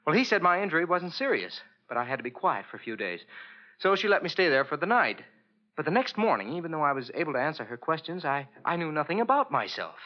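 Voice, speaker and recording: Troubled young amnesiac, muffled old radio. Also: male voice, on the high side, very animated, fast. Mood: earnest, uneasy. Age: young adult. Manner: hesitant, explanatory. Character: troubled young man with amnesia, earnest and anxious. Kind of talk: 1940s radio acting.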